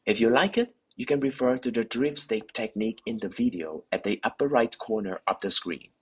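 A man narrating a scripted instructional voice-over, explaining technique in steady, slightly non-native phrasing. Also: lowish voice, breathy edge. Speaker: bowling coach.

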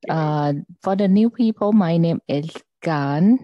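A woman in her thirties from Thailand, with a Thai accent, presenting products over a video call on a headset mic from home, speaking softly with many fillers. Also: fairly low pitch, slow, no echo. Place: home room, indoors. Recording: dull lo-fi video call audio.

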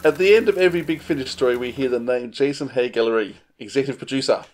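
A man's voice: mid-range voice, fast. A middle-aged man hosting a friendly podcast interview over a video call.

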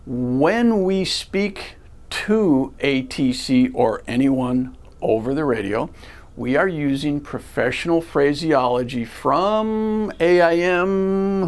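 An American man in his sixties giving a deliberate, step-by-step lesson to camera as a flight instructor, recorded indoors on a lapel mic. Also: on the high side, clear, resonant tone. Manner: deliberate, step-by-step teacherly delivery. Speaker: flight school ground instructor. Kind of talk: instructional lecture to camera with rhetorical questions. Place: indoor video studio. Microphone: lavalier clipped to shirt.

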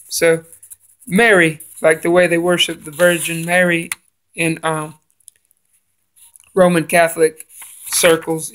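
Gravelly man reading aloud. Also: on the high side, a bit bright. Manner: halting, restarts on hard names. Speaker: religious video maker. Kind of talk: reading a book aloud with comments. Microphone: phone camera filming a book page.

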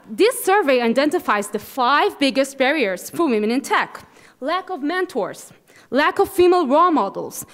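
A woman's voice: high voice, long reverb tail. A young woman with an Israeli accent moderating a conference session on stage, rousing the audience with a handheld microphone in a large ballroom. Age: young adult. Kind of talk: conference keynote introduction.

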